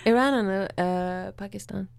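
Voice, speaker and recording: A woman from Sweden with a Swedish accent, chatting casually as a studio guest and then reading her poetry slowly and deliberately. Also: mid-range voice, gravelly, smooth, no room sound. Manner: laughs easily, reads poetry slowly and deliberately. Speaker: guest poet. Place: radio studio.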